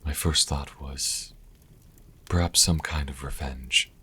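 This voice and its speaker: A man playing a proud, wry vampire healer in an intimate audio roleplay, speaking to the listener with haughty, deliberate pauses. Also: steady tone, sharp tone, slight background noise, heavily acted. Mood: reflective, wry. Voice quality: very deep, husky, breathy at times. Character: sassy male vampire healer, proud, wry, grudgingly charitable.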